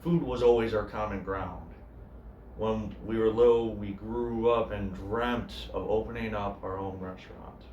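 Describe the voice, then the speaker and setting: A man performs a subdued, grieving cook in a naturalistic dramatic monologue. He speaks quietly and hesitantly, with broken pauses. The room around him is echoey, with faint noise. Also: on the low side, steady tone, distant mic, dull audio, voice pushed down, natural voice. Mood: wistful, quiet. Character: grieving male cook, subdued, haunted by his brother. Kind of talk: dramatic monologue, naturalistic screen-style acting.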